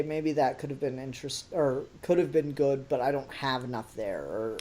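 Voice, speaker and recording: A man's voice: on the high side, warm tone. A man co-hosting a podcast, casually talking through a critique in an analytical, questioning way. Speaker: podcast co-host reviewing audio dramas.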